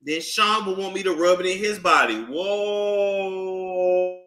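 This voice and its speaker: A young man streaming casual commentary while he reads court documents aloud, swinging between loud outbursts and low muttering. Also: steady tone, takes it slowly. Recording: echoey room.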